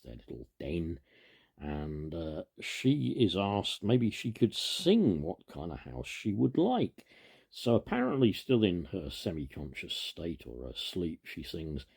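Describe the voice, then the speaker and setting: A man reading a children's story aloud in an animated way, with informal asides and a gravelly, husky voice. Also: deep voice. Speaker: storybook reader.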